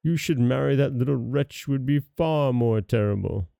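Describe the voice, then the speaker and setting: A man plays a lovelorn storybook king, earnest and devoted to his beloved. The tone is very soft and dark, at a middling pitch, recorded dry. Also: normal speed, creaky, no room sound.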